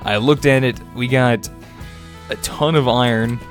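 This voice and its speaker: A man's voice: mid-range voice, fairly even intonation, measured pace. Stuffy young man narrating gameplay. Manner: chatty, upbeat, with little laughs and fillers. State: stuffy, congested. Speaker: gaming video host. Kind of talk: casual let's-play commentary. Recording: faint music bed behind voice.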